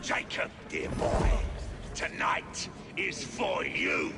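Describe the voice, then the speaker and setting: A man's voice: slow, heavy background noise, lightly acted. An actor playing a flamboyant, menacing Victorian gang boss and showman in a video game, with a theatrical, grandiose delivery. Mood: tender menace. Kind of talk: dramatic video game acting.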